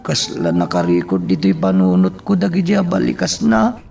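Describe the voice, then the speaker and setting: A man acting a heartsick, brooding character in an Ilocano radio drama, with a gravelly voice. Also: sits low, huge pitch swings, normal speed, a little mellow, faint music behind, heavily acted.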